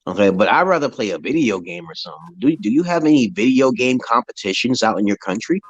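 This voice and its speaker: A man with a deep voice in casual, opinionated chat, talking in a loose, slangy way and laughing often. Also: very animated, quick pace, breathy edge, lo-fi sound. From United States. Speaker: conversation partner in an English chat.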